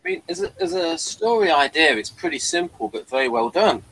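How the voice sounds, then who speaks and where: A middle-aged man hosting a casual review chat over a video call, halting and repeating words as he gathers his thoughts. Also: a little high, wildly swooping pitch, creaky, fairly bright tone, husky, low-quality recording. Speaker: host of a review show. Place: small cluttered room at home. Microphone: headset on a video call.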